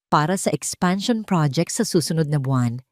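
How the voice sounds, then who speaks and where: A woman's voice: low-pitched, lively intonation, normal speed, no echo, studio quality. A woman voicing a cold, sarcastic male tycoon in an audio drama, with a clipped, commanding manner. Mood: confident.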